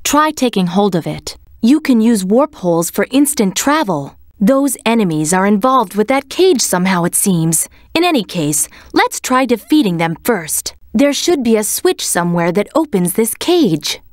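A woman voicing a serious, composed young female cat in a video game, speaking in a measured, commanding way. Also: medium pitch, big pitch swings, dry recording, studio quality, close to an everyday voice. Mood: composed, instructive. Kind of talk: scripted game voice acting, tactical hints.

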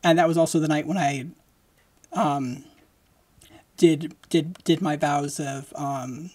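Slow, halting podcast host. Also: medium pitch. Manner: halting, many fillers and restarts; speaks slowly with lively hand gestures. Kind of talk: casual solo podcast chat. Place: decorated home room, indoors. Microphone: large studio mic close in front.

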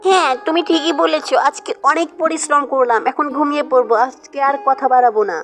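A woman's voice: sits high, fast talker, soft background music, close to an everyday voice. A woman voicing an agreeable, hardworking farmer's wife in a cartoon. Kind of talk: amateur cartoon dialogue.

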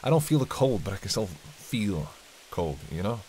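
A man's voice: on the low side, moderate pace. A man playing a sardonic, teasing vampire in an intimate roleplay, talking in a conversational, bantering way with dry sarcasm. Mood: weary, wry. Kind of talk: intimate audio roleplay monologue. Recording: steady hiss and rain behind. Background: rain.

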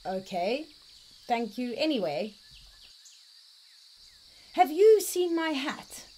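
A woman's voice: high-pitched, sing-song, slow, dark tone, exaggerated voice. A woman voicing a big, polite bear in a children's storybook, plain and earnest. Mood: forlorn. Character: big, polite, forlorn bear missing its hat. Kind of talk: children's storybook read-aloud.

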